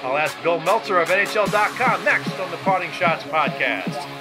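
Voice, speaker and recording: A man's voice: sits high, lively intonation, normal speed, loud music behind the voice. A man hosting a sports podcast, introducing and interviewing guests. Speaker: podcast host, sports editor.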